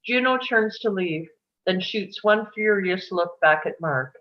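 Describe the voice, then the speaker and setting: A woman's voice: mid-range voice, expressive, measured pace, lo-fi sound. A woman reading stage directions as scripted narration at a live table read.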